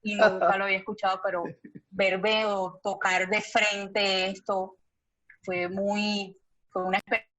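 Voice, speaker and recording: A woman's voice: mid-range voice, level tone, a little slow, fairly bright tone, poor audio. A woman with a Colombian accent giving casual, hesitant feedback as an audience member on a video call.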